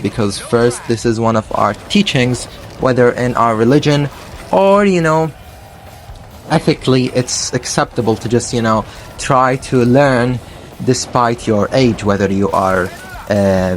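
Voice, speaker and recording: A man in his twenties from Iraq, earnestly giving spoken advice on learning English, pausing on "uh" and correcting himself as he goes. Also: medium pitch, slight background noise. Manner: earnest and thoughtful, with frequent "uh" and self-corrections. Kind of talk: casual spoken advice to camera.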